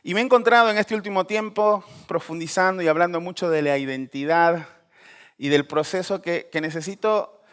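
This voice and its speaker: A man's voice: sits high, normal speed, fairly bright tone, clear, resonant tone. A man in his forties from Peru, giving a conversational personal testimony full of fillers and restarts. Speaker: speaker.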